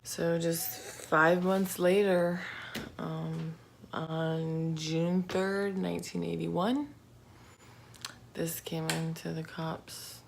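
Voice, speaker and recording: A woman's voice: lowish voice, flat delivery, extremely slow, vocal fry, slight background noise. A woman telling true crime stories in an informal, conversational vlog style, with slangy asides. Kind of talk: casual true crime storytelling.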